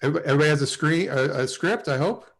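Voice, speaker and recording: A man's voice: fairly high pitch, racing. A man in his forties casually hosting and introducing people on a video call livestream. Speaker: host and writer.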